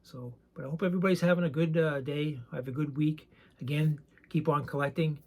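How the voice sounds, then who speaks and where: A soft-spoken man with a raspy voice, chatting casually and rambling a bit while showing his collection. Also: fairly high pitch, fairly even intonation, brisk. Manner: soft-spoken, not loud; rambling, hesitant with many ums. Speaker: card collecting channel host. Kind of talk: casual show-and-tell chat.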